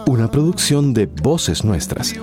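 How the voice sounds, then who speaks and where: A man's voice: medium pitch, big pitch swings, a little slow, music in the background. A man giving scripted narration as the narrator of a radio drama.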